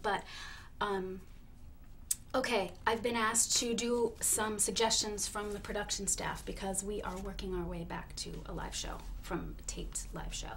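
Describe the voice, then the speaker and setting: A woman hosting a comedy talk show with a deadpan, mock-serious delivery. She stays polite and earnest while saying absurd things, at an ordinary mid pitch. A faint hiss sits under the recording.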